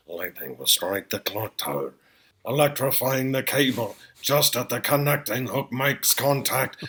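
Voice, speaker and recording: A man voicing an excitable, eccentric old scientist in a comic table read, raspy and theatrical, swinging from hushed to booming.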